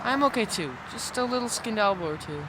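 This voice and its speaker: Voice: medium pitch, lively intonation, a little fast, background noise, natural voice. A performer playing a protective, level-headed boy, a big brother, in an audio drama. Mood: concerned.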